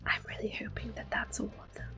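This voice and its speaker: A woman giving gentle, unhurried ASMR game commentary in a soft, breathy voice that often drops to a whisper. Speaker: gameplay host. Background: video game music.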